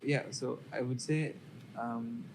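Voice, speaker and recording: A man in his twenties who grew up in Saudi Arabia, talking as a podcast guest in an even, measured way with many fillers. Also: medium pitch, vocal fry. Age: 20s. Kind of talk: casual interview storytelling. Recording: noticeable background hiss.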